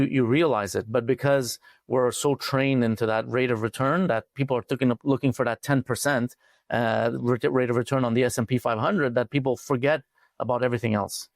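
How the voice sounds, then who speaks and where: A man from Montreal, talking thoughtfully as a podcast guest, with many pauses and "uh". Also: mid-range voice, no room sound, clean studio sound. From Montreal, Canada. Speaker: financial coach, podcast guest. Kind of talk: casual interview talk.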